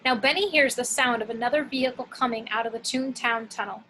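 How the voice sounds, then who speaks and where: A woman's voice: a little high, brisk, fairly bright tone, a little hiss or hum, poor audio. A woman narrating action descriptions from a script at an amateur table read.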